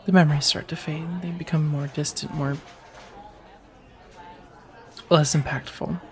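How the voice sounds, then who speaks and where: A woman's voice: sits low, steady tone, a little fast, close to an everyday voice. A woman playing a friendly, teasing firefighter on a date in an intimate, casual ASMR romance roleplay, chatty and reassuring. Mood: sympathetic. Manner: chatty, playfully wry, reassuring, sprinkled with soft laughs.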